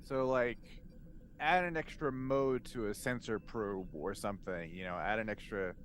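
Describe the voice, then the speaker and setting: A man's voice: fairly low pitch, normal speed, slight background noise. A man chatting casually as a player in a tabletop game, wry and joking, feeling his way through phrases. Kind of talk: casual improvised game chat.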